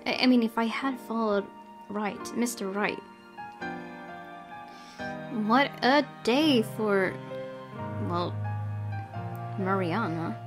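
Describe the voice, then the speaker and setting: A woman's voice: mid-range voice, slow, pauses often, over music. A young woman playing a game, reading its text aloud and reacting casually with giggles and exclamations. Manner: giggly, exclamatory, self-correcting. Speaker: let's player.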